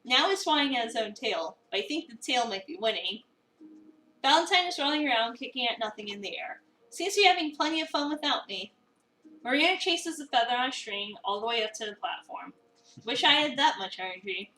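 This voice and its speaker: A woman narrating a visual novel as an expressive first-person dramatic reading. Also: a little high, fairly quick, slight background noise. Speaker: narrator, streamer. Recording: roomy, echoey, distant mic.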